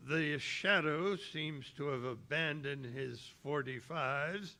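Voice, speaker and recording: A man playing a worried, authoritative police commissioner in a 1930s radio drama, earnest and halting. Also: fairly high pitch, bright, forceful tone, heavily acted. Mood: grave, worried.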